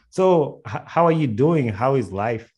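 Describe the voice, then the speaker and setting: A man's voice: medium pitch, darkish tone, husky. A man with a Ugandan accent hosting a casual podcast interview, chatty and friendly, often repeating his words. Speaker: podcast host.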